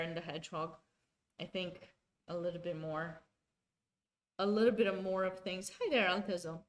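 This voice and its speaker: A woman's voice: medium pitch, a bit bright. A woman, commentating a chess game live and chatting with viewers, often restarting her sentences. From Romania. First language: Romanian. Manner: chatty, self-correcting, talks to chat.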